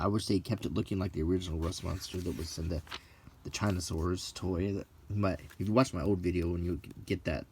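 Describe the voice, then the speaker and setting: A middle-aged man hosting a casual, ASMR-like show-and-tell, reading out names in a halting, list-like way with brief musing asides. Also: low-pitched, darkish tone, a little hiss or hum. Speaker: video host.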